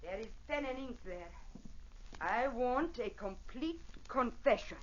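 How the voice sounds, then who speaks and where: An actress playing a vengeful Italian opera singer and wronged mother in a radio drama, with an Italian accent and theatrical, deliberate delivery. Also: a little high, animated, slight background noise. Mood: menacing, commanding. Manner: theatrical, deliberate, formal phrasing. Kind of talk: old-time radio drama acting. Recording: dull vintage radio recording.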